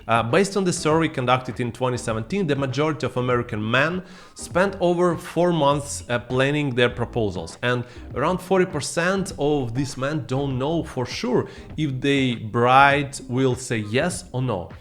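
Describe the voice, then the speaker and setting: A man in his thirties, not a native English speaker, talking to camera in a scripted vlog with playful asides, recorded on a boom-arm studio mic in a dim room at home. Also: normal speed, soft background music. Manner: lively, playful asides to viewers. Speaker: vlogger.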